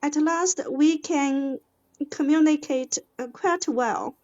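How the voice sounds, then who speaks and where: A fairly high-voiced woman with a Chinese accent speaks slowly in a lesson. She is an English learner, halting and searching for words. The recording sounds dull and lo-fi. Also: darkish tone. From China. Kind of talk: hesitant conversation in a lesson. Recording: dull, lo-fi audio.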